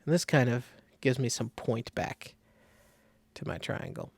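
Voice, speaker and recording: A man giving a casual step-by-step software tutorial, thinking aloud informally as he demonstrates. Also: medium pitch, unhurried, gravelly, breathy edge, dry recording. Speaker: design tutorial instructor.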